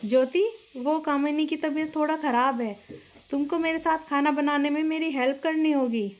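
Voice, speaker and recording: A woman voicing a bossy but caring eldest sister-in-law in a moral story, brisk and directing. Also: sits high, fairly even intonation, pitched above the performer's own voice, natural voice. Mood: requesting.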